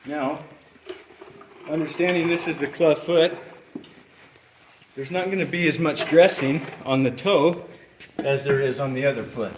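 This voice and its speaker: Middle-aged guy talking through a hoof trim while he works, patient and plain, roomy barn echo, faint hum behind. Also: medium pitch. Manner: patient, explanatory, talks while working bent over a hoof. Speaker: farrier. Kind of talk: hands-on trimming demonstration. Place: roomy barn with concrete floor. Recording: echoey barn.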